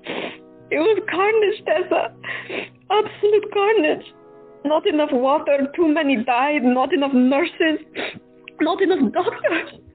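A grief-stricken female doctor, shaken and devoted, as an actress plays her, her high voice trembling and tearful. The sound is thin and phone-like, with faint music beneath. It is an audio drama. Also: takes it slowly, long pauses. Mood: grieving.

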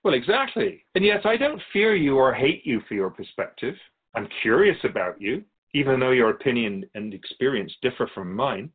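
A man's voice: medium pitch, very animated, breathy edge, narrow, tinny audio. A man playing a wise otherworldly keeper of souls in a radio drama, courteous, philosophical and wry as he tells stories. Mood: curious, challenging. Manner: measured, philosophical, gently challenging. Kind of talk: radio drama dialogue, storytelling.

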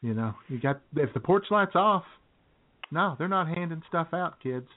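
A man's voice: fairly high pitch, animated, normal speed, slight background noise. A man co-hosting a comedic radio show, chatting with frequent laughs and mock outrage. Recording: phone-like radio audio.

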